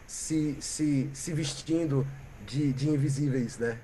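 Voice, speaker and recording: A Brazilian man from Bahia, a musician guest, telling stories in a reflective, animated way and often ending with "né?" or "sabe?". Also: mid-range voice, slow pace, a little hiss or hum, rough recording. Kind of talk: reflective conversational storytelling.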